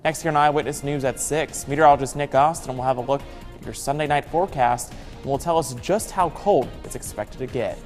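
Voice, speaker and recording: An American man anchors a newscast in a medium-pitched voice. He delivers scripted copy in a space with long reverb, with faint music underneath. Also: a little fast. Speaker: news anchor.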